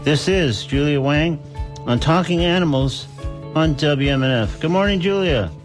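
A man's voice: fairly high pitch, normal speed, soft background music. A man hosting an animal-themed radio show, friendly and long-winded as he interviews guests and introduces segments.

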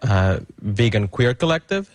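A man performing a stand-up comedy bit, halting and hesitant, with many pauses and fillers. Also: on the low side, very animated, background noise.

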